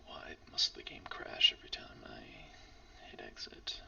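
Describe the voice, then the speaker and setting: A man who is losing his voice from illness, giving casual let's-play commentary in a hoarse, mumbling, often half-whispered way. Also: measured pace, pushed and bright, distant mic, lo-fi sound. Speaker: streamer playing a game.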